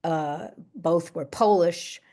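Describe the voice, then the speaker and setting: An older woman, US-born with Yiddish as her first language, speaks slowly in a lowish voice. She answers reflectively, pausing and restarting her sentences as she thinks. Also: warm tone. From United States. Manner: thoughtful, halting, with frequent restarts. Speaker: actress and guest.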